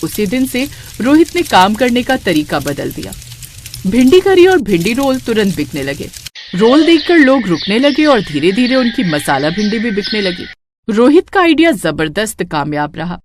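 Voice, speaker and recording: Expressive woman narrating a story. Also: medium pitch, moderate pace, a little hiss or hum.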